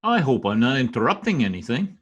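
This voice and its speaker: A performer playing a suave, unbothered male diplomat fiancé in old-time radio drama style, with a husky voice. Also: medium pitch, very animated, fairly quick, highs cut off, noisy background, natural voice. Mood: cool, sardonic.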